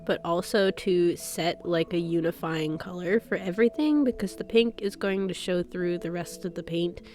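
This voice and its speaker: A young woman hosting her art channel, talking casually while she paints. She has a cold, so her voice sounds stuffy, and she rambles in a chatty way with soft laughs and fillers. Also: fairly low pitch, moderate pace, soft background music. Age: young adult. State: stuffy, sick voice with a cough. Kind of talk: casual chat over a paint-with-me video.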